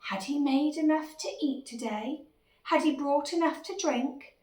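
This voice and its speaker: A woman reading a children's storybook aloud in an expressive style. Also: high-pitched, unhurried. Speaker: story reader. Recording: echoey room, distant microphone.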